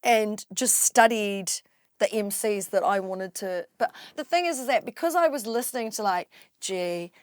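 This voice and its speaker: A young woman with a New Zealand accent and a low voice, an MC telling her story in a lively, animated way as a podcast guest. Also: moderate pace, no room sound, studio quality. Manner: lively, animated storyteller who acts out quotes. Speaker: drum and bass MC, guest. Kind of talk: casual interview storytelling.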